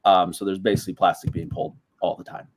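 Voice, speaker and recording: Deep-voiced man pitching earnestly. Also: steady tone, fast. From Southern California. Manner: earnest, explanatory, casual phrasing. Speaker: partnership presenter. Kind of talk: business pitch on a call.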